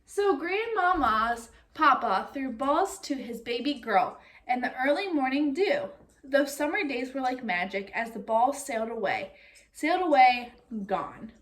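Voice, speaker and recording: A woman reading a picture book aloud like a teacher, expressive and speaking directly to young listeners. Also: high-pitched, measured pace. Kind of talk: read-aloud storytelling with comprehension questions.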